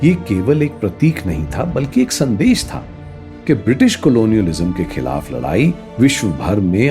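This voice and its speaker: Enthusiastic history teacher, Indian accent, very lively intonation, mellow tone, conversational radio play, soft music. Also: male voice, fairly low pitch, heavily acted. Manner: animated, encouraging, asks rhetorical questions. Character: enthusiastic male teacher explaining history to a student. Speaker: teacher and storyteller.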